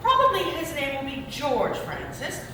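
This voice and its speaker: A woman playing a sweetly flattering, gushing young wife in a comic stage reading. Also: high voice, moderate pace. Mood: sweet, coaxing. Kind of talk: staged readers theater comedy. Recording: echoey hall, far-off mic, clear noise.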